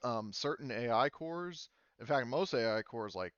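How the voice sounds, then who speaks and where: A man narrating a tabletop roleplaying game as game master, explaining things in a halting way. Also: medium pitch, animated, fairly bright tone, clear, resonant tone, no room sound, lo-fi sound.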